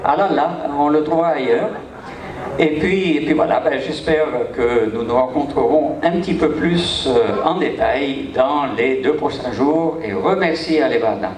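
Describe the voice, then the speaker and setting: A man's voice: a little high, moderate pace, noisy background. An older man from Egypt, speaking informally to an audience with wry, self-mocking humor. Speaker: congress participant introducing himself. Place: large echoey hall. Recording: echoey hall audio from far off. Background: audience murmur.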